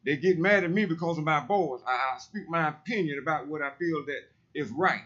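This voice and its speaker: A man with a heavy, loud voice, speaking emphatically and repeating himself in a preacherly way during public comment at a council meeting. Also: a little high, brisk, dull audio. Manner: emphatic, repetitive, preacherly insistence.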